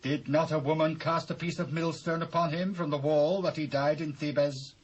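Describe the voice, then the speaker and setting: A male actor plays an ancient general with a British accent. His voice sits fairly high and he issues orders with theatrical force. The sound is a dull, aged cassette dramatisation of scripture. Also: fairly even intonation, moderate pace, lightly acted. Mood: stern, urgent. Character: forceful army commander briefing a messenger. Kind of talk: dramatised Bible reading, British stage acting. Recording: dull old cassette tape.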